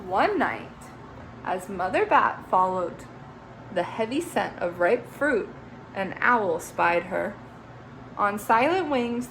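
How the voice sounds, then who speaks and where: Lively woman reading a kids' story. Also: medium pitch, a little hiss or hum, rough recording. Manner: expressive, with chatty asides to young listeners. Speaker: teacher. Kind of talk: reading a children's story aloud.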